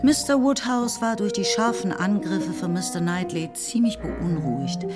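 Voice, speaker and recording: A woman narrating a radio play in a measured, even storytelling manner. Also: mid-range voice, over loud music.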